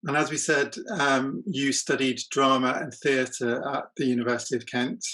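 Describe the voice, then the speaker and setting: A man hosts a conversational podcast interview. He forms his questions slowly in pieces, pausing and starting again, at a middle pitch. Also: little pitch movement, pushed and bright.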